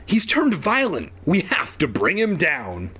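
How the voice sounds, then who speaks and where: A young cop from a comic storybook, played by a man, loud, suspicious and deliberate. He shouts in a raised, slightly husky voice. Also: a little high, huge pitch swings, voice pushed up, exaggerated voice. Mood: alarmed. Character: young male police officer, loud and suspicious. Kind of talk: comic audiobook character reading.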